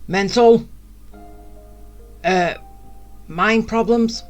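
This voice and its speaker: A woman streams a game with casual, grumbling commentary. The pace is slow and the pitch middling, with a sarcastic edge. Game music sits in the background.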